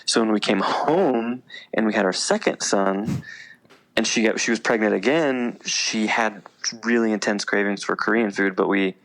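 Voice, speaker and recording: A man's voice: fairly low pitch, normal speed, poor audio. A man in his thirties, a podcast guest, telling stories in easy casual chat with frequent fillers and laughs. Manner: relaxed storyteller, laughs often, many fillers. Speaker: podcast guest, veteran and teacher.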